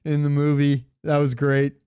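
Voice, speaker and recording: A man's voice: on the high side, monotone, moderate pace, dark tone, clean tone, no echo, studio quality. A man co-hosting a podcast in casual, enthusiastic chat, with slangy, sweary talk. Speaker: podcast co-host. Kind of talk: casual chat about comics, movies and games.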